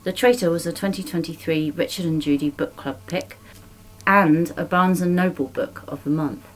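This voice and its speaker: A woman with a fairly low-pitched voice hosts an arts interview. Her delivery is that of a prepared, scripted presenter introducing and questioning a guest. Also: normal speed, rough recording. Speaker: podcast host.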